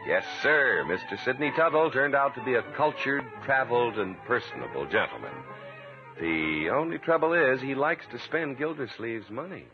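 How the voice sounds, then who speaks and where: A man with a husky voice announcing in a 1950s radio style, with an emphatic salesman's delivery. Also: medium pitch, animated, moderate pace, over music. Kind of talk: 1950s radio commercial and scripted narration. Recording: tinny old radio recording.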